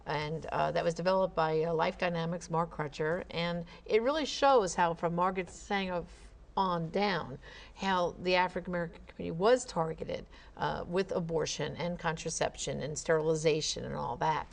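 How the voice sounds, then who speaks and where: Woman co-host, fairly low pitch, animated anecdotal talk with many "you know"s. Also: moderate pace, gravelly. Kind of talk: talk show discussion.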